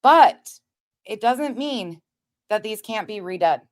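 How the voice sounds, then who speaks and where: A woman's voice: medium pitch, lively intonation, slow pace, no room sound, clean studio sound. An American woman giving casual commentary as an online host, emphatic and explanatory, repeating points for stress. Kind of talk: casual commentary, reading documents aloud.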